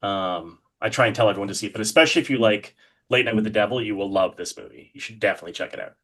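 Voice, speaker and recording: A man's voice: lowish voice, rapid-fire, fairly bright tone. A man talking casually and enthusiastically as a guest in an interview, with an effusive way of putting things. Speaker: podcast guest, horror artist.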